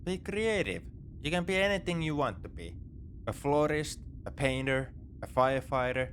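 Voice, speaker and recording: A man's voice: fairly high pitch, lively intonation, moderate pace, fairly bright tone, a little hiss or hum. A man playing a morally grey space pirate captor in a sci-fi ASMR roleplay, talking in a casual, wry, coaxing way. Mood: encouraging, playful. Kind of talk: second-person sci-fi ASMR roleplay acting.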